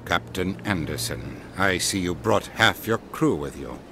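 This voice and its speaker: An actor playing a testy, authoritative ambassador in a video game, curt and reproving. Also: male voice, low voice, animated, unhurried, vocal fry, over music, exaggerated voice.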